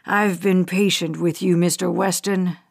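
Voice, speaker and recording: A woman voicing a menacing male hijacker who speaks in a low, cold, threatening way. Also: level tone, unhurried, creaky, slightly rough, exaggerated voice.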